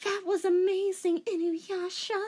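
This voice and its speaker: A woman voicing a lovestruck young anime heroine in a breathy, overwrought, mock-dramatic fanfiction reading. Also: very high-pitched, level tone, unhurried, a little mellow, pitched above the performer's own voice. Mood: breathless.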